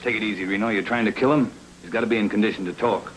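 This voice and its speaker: An American actor playing a practical gang member in a film noir thriller, slangy and questioning. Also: male voice, on the low side, racing, close to an everyday voice. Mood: impatient. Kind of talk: 1940s film noir acting. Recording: noisy old film soundtrack.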